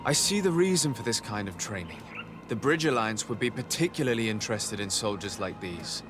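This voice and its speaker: An actor playing a polite, inquisitive diplomat in a video game, with a formal and composed manner. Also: male voice, fairly low pitch, expressive, fairly quick, over music, close to an everyday voice. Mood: probing. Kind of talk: video game dialogue acting.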